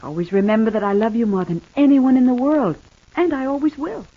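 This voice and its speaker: An actress playing a devoted, gentle wife recovering in hospital in a 1950s radio soap, speaking tenderly and earnestly. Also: female voice, on the high side, a little fast. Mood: earnest, pleading. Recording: dull, band-limited old radio recording.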